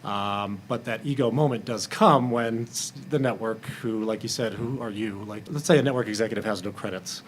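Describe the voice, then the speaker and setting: Male panelist, producer type. Low voice, thoughtful halting delivery, faint live-room noise behind. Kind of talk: roundtable discussion.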